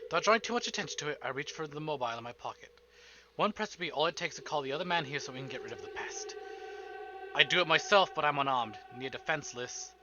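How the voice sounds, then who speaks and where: A man's voice: fairly high pitch, expressive, fast, highs cut off, noisy background. A man reading dramatic first-person narration aloud from a story game as a streamer. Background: game music.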